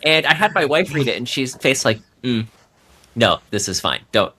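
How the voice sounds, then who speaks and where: A man's voice: medium pitch, big pitch swings, normal speed, a crowd in the background. A man speaking as a novelist guest on a podcast, casual and conversational, with a wry, self-mocking way of telling things.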